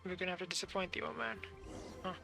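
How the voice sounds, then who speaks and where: A woman's voice: fairly low pitch, fairly even intonation, quick pace, gravelly. A woman streaming a game, giving casual off-the-cuff commentary and hesitantly thinking aloud. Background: game music.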